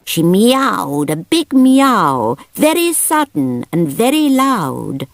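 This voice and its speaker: A woman narrating a children's picture book aloud in an expressive storytelling manner. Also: medium pitch, slow, no room sound, clean studio sound.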